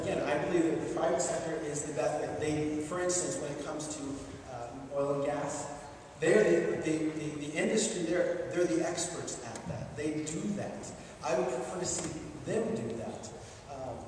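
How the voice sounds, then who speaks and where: A man in his thirties answers forum questions in a big, boomy gymnasium, picked up from across the room. His voice sits a little high, and he speaks in a measured, hesitant way, full of fillers and restarts. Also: background noise. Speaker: legislative candidate at a party forum. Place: large school gymnasium. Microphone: handheld mic, heard from far. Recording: echoey gym from far off.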